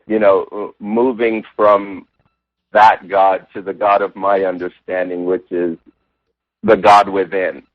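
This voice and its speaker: A man's voice: sits low, little pitch movement, slow, no echo. A man born in Jamaica, a religious science practitioner and interview guest, thoughtfully telling his spiritual journey with frequent restarts and "you know" fillers. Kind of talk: reflective personal storytelling. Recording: tinny phone-like line, dry.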